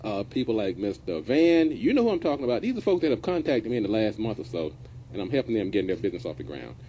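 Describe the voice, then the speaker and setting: A man's voice: fairly low pitch, big pitch swings, quick pace, warm tone, slight background noise. A middle-aged man hosting a business radio show, talking in a folksy, casual way and now and then dropping verbs. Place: radio studio.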